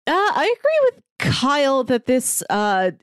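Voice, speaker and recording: A woman's voice: high voice, huge pitch swings, a little slow, smooth, no echo. Dry-sounding woman in casual podcast discussion. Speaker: podcast panelist.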